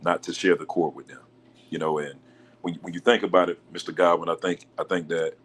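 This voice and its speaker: A man with a deep voice, a basketball coach being interviewed on a local sports talk show, answering in a measured, polite way. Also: flat delivery, a bit bright, a little hiss or hum. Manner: measured, polite, says "yes, sir". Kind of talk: casual radio show interview.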